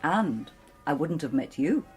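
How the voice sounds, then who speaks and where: Well-bred wife, husky, very expressive, gently coaxing, radio drama. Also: female voice, low voice, measured pace, faint music behind, heavily acted. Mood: teasing, reassuring. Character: affectionate, teasing, well-bred wife of a policeman. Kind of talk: naturalistic British radio drama acting.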